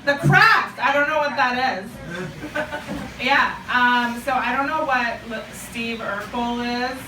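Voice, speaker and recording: A woman's voice: a little high, takes it slowly, background noise. Japanese-born woman doing stand-up. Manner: chatty, self-deprecating, banters with the audience. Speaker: stand-up comedian. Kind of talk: stand-up comedy set. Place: comedy club stage, live audience. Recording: roomy, distant live club recording.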